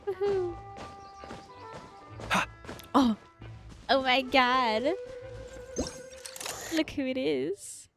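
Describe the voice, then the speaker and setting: Animated, high-voiced woman streamer. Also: very slow, vocal fry, pauses often, music in the background. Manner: expressive, theatrical, giggly, swearing casually. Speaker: live streamer. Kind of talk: casual game livestream commentary.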